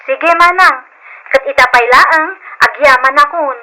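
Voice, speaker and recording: A woman's voice: high-pitched, unhurried, bright, forceful tone, heavily acted, poor audio. A woman playing a dutiful, considerate young provincial sister in an old Philippine radio drama. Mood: grateful.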